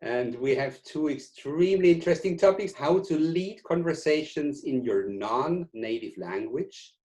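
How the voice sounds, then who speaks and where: A man's voice: a little high, expressive, unhurried, darkish tone. A man co-hosting an interview, introducing the topics in a structured way. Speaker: interview co-host.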